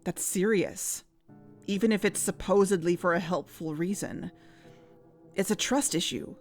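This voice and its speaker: Worried earnest woman, low voice. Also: measured pace. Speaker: co-investigator in an audio drama. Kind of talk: scripted audio drama dialogue. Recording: music bed underneath.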